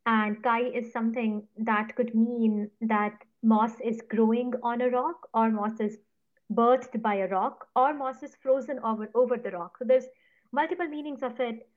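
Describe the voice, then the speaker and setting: A woman from Punjab whose first language is Punjabi, answering interview questions in a measured, careful way. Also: fairly high pitch, fairly even intonation, phone-quality sound. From Punjab, India. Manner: thoughtful, measured, careful hedging. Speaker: guest, writer on mosses.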